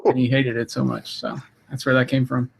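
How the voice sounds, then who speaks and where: A man joining a casual chat with enthusiastic quick stories. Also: mid-range voice, little pitch movement, vocal fry, slightly rough.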